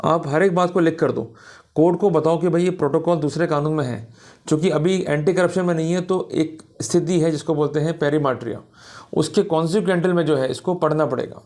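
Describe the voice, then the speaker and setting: A man's voice: a little high, steady tone, brisk, a little mellow. An Indian man lecturing in an emphatic, didactic manner, often checking that listeners follow, as a law teacher. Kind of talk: instructional law lecture in Hindi mixed with English terms.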